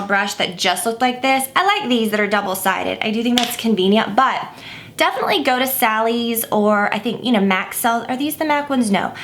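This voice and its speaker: A woman's voice: medium pitch, fairly bright tone. A woman giving a casual makeup tutorial, chatty and rambling with many fillers, a bit stuffy and scratchy. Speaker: makeup vlogger.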